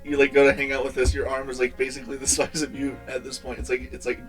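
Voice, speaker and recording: Young man, podcast banter, rambling, monotone delivery, faint guitar behind. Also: medium pitch, fast talker. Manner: rambling, absurd hypotheticals, swears often. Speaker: podcast co-host. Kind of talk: casual comedic chat.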